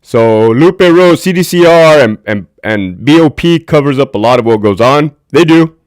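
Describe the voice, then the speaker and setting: Brief: deep-voiced man, livestream host, lively swinging pitch, dry close desk mic. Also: normal speed, dry recording. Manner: candid, profane, talks to chat viewers. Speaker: livestream host, former prison officer. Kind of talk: casual livestream commentary.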